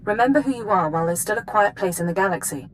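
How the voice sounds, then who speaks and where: An actress playing a brisk, caring intelligence dispatcher in a video game, efficient and formal but concerned. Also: female voice, expressive, close to an everyday voice, poor audio. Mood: reassuring. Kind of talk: dramatic game dialogue. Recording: rumbling noise behind.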